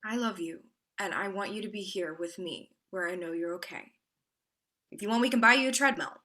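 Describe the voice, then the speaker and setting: Woman reading a worried devoted girlfriend, pushy questions, bright forceful voice. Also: medium pitch, a little fast, close to an everyday voice. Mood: pleading. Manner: coaxing, insistent questioning. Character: anxious, devoted girlfriend pleading with her partner. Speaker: actor in a staged reading. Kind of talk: staged play reading.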